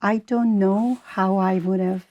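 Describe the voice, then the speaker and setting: A woman in her forties from Bosnia, speaking English, answering as an author in an interview with thoughtful pauses and fillers. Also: medium pitch, fairly even intonation, dark tone, dull audio. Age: 40s. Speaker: author and guest.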